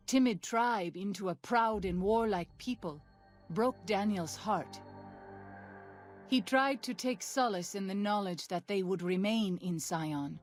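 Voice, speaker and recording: A woman narrating a game epilogue in an even, somber way, over game music. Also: medium pitch.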